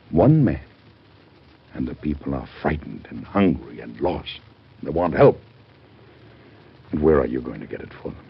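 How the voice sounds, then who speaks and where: An actor in an old-time radio drama playing a middle-aged, devout American president with a husky voice and a grave, stately, oratorical manner. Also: male voice, a bass voice, heavily acted. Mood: anguished, weary. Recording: dull vintage radio drama recording.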